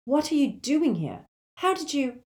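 A woman voicing a burdened, evasive teenage boy in an audiobook, halting and strained with urgency. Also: sits high, sing-song, darkish tone, pitched above the performer's own voice. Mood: distracted, panicked. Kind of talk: audiobook character voice.

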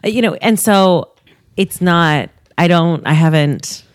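A woman's voice: fairly low pitch, a little slow, clean tone, no room sound, clean studio sound. A young adult woman, a guest in a casual interview, talking in an upbeat, motivational way. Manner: upbeat, motivational, rises when giving advice.

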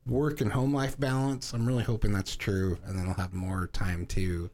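A man's voice: on the low side, little pitch movement, moderate pace, darkish tone. A man co-hosting a casual podcast chat, swearing often and joking about his own talents. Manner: swears a lot, boastful and joking. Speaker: podcast co-host.

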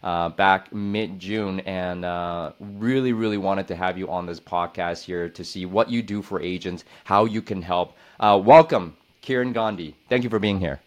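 Low-voiced effusive male podcast host. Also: normal speed, hardly any pauses. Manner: effusive, hesitant with many fillers. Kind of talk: podcast interview.

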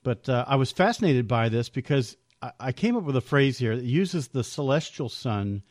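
A man's voice: medium pitch, lively intonation, normal speed, darkish tone, no echo, studio quality. A man hosting a faith podcast, giving an earnest, upbeat scripted introduction and then interviewing a guest. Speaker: podcast host.

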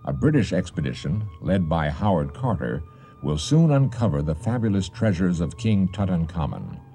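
A man's voice: low-pitched, big pitch swings, moderate pace, dark tone. A man hosting and narrating a radio drama in a scripted, dramatic storytelling style. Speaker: host and narrator. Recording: faint music behind the voice.

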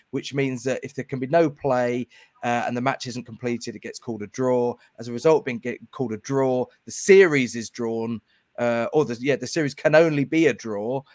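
A man's voice: mid-range voice, brisk, darkish tone, no echo. A man hosting a podcast interview, explaining things at length in a conversational way. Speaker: podcast host.

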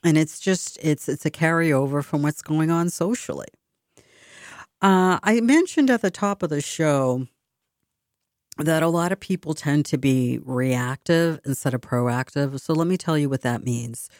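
A woman's voice: deep voice, expressive, measured pace, a little mellow, no echo. Boston woman hosting community radio, dry sound. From Boston area. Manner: conversational, rambling with fillers and restarts. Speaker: radio show host. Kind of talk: community radio talk show. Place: radio studio.

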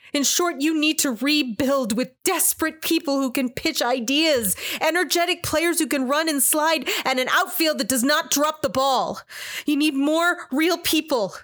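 A woman's voice: high-pitched, fairly bright tone. A woman playing a blunt, sardonic speaker who lectures state legislators in a stage monologue. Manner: blunt, sardonic, full of baseball metaphors.

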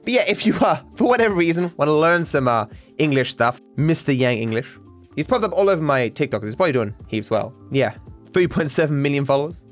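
Rambling man, restless pitch. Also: lively intonation, faint music behind. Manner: rambling, halting restarts, wide pitch swings. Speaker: podcast host. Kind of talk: casual solo rambling.

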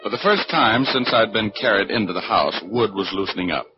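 Wry, slangy male gumshoe played by an actor, low voice, vintage muffled radio drama sound. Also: lively intonation, fairly quick, loud music behind the voice, close to an everyday voice. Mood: tense narrating. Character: wisecracking tough male private detective, narrating and scheming. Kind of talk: 1940s radio detective acting, first-person narration. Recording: dull 1940s radio broadcast.

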